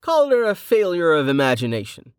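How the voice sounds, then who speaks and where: A narrator voicing Rain, a polite and concerned young adventurer, close to the narrator's own voice. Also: male voice, a little high, wildly swooping pitch, brisk, clean tone, dry recording, pitched above the performer's own voice.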